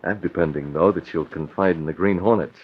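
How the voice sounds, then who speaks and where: An actor playing a confident, calculating publisher and masked vigilante in an old radio drama. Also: male voice, low-pitched, brisk, natural voice. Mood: confident, decisive. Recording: muffled, faintly noisy old radio recording.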